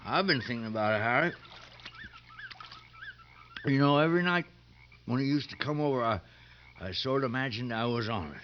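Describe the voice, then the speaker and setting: A simple, dreamy male convict, played by a radio actor talks eagerly at a middling pitch. It is a dull 1950s radio drama recording with faint noise. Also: expressive, normal speed, pauses often, close to an everyday voice. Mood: wistful. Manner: naive, deferential.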